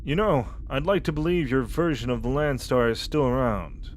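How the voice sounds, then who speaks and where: An actor playing a calm, reflective and sincere spacefarer in an audio roleplay. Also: male voice, medium pitch, normal speed, a little hiss or hum, lightly acted.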